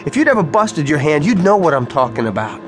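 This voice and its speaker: A man's voice: fairly high pitch, very animated, fast talker, a bit bright, faint music behind. An actor playing a volatile working-class tough guy in a radio drama, brash and sarcastic with slangy street talk. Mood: angry, impatient.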